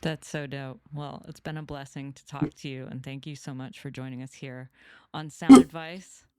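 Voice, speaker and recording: A man's voice: medium pitch, fairly even intonation, normal speed, darkish tone, smooth. A man hosting a podcast, asking a guest polite, curious questions. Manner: polite, inquisitive. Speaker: podcast host. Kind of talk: interview questions.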